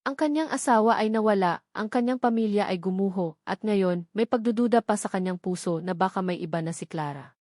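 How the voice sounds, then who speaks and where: Woman, scripted romantic drama narration, middle pitch, close dry recording. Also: a little fast, a little mellow, dry recording. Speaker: story narrator.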